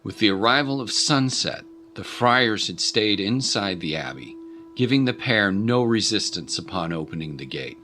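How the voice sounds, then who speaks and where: A man's voice: fairly low pitch, animated, moderate pace, sharp tone, soft background music. A man reading scripted, dramatic story narration as the narrator of an audio drama.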